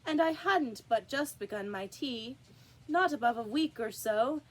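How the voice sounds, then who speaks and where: A woman's voice: on the high side, lively intonation, measured pace. A woman voicing a miserable, nervous poor hatter in a storybook trial, anxious and pleading.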